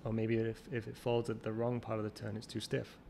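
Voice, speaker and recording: A man with a gravelly, fairly low voice talks in a flat, level way, circling back and restarting his sentences as he thinks aloud. He is a guest in a casual interview, and a faint hiss of noise sits under the call recording. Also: fairly quick. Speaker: guest, champion water skier.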